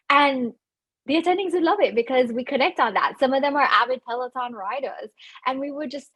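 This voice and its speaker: Upbeat Jamaican woman, slightly husky voice. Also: sits high, moderate pace, fairly bright tone. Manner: chatty, upbeat, many fillers and restarts. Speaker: physician giving residency interview advice. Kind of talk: advice talk to camera.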